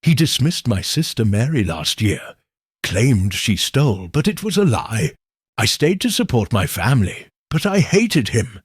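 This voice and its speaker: A man's voice: mid-range voice, sing-song, measured pace, husky, no room sound, clean studio sound. A man voicing a slight, resentful servant woman in an audiobook, bitter and defensive. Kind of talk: acted audiobook dialogue.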